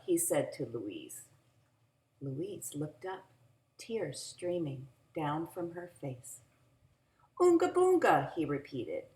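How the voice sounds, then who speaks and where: A woman reading a picture book aloud to young children in an animated, teacherly way, adding little asides. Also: low voice. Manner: animated, teacherly, drawn-out phrasing. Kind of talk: expressive read-aloud with asides to young listeners. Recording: roomy home recording with echo.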